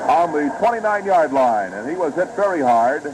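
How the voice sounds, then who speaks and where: Male American sportscaster, fifties radio play-by-play, fairly high voice, formal yet dramatic, noisy old broadcast. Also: big pitch swings, moderate pace. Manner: formal, detailed, dramatic narration of each play. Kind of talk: 1950s American football radio commentary.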